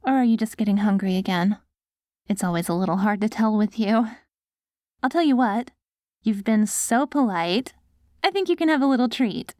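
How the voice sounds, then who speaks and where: A woman playing an affectionate post-apocalyptic wife in an ASMR audio roleplay, coaxing and gently praising her ghoul husband. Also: a little high, normal speed, dry recording, studio quality, natural voice. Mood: playful, coaxing.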